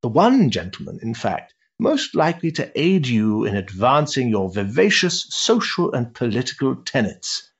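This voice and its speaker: An actor playing an urbane, cynical older aristocrat in a period stage drama, pompous and sardonic in ornate phrasing. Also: male voice, medium pitch, big pitch swings, moderate pace, slightly rough, muffled recording. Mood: suave, condescending.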